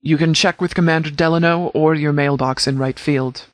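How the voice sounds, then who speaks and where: Actor as a formal, higher-pitched male military commander. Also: steady tone, no echo, lightly acted. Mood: businesslike. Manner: formal, measured, authoritative diction. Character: stern, formal military commander giving orders. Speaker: video game character voice. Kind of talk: scripted game dialogue, mission briefing.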